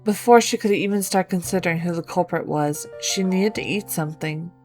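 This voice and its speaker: A woman's voice: lowish voice, brisk, a little mellow, soft background music. A woman reading scripted narration as the storyteller of a fan-written game quest.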